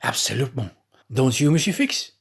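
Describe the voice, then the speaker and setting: A jovial manservant from a storybook, acted out by a male reader, teases with a sly, bantering manner. The voice is husky and swings widely in pitch. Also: sing-song, normal speed, full character voice. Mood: teasing, jovial. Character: bantering, hearty French manservant, sly and teasing.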